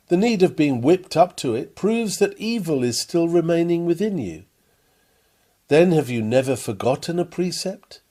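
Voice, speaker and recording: A man reading an old-fashioned sermon aloud in an earnest, rhetorical manner with deliberate phrasing. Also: fairly high pitch, dark tone. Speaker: sermon reader.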